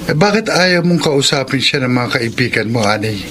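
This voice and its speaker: A man's voice: mid-range voice, lively intonation, normal speed, background noise, close to an everyday voice. An actor playing a supportive young male friend in a Filipino radio drama, reassuring and persuasive.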